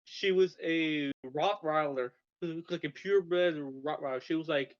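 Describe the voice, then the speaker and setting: A man's voice: fairly high pitch, a little slow. A young man from Russia telling stories as a podcast guest, rambling with frequent restarts and stammers. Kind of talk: casual storytelling. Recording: dull lo-fi sound.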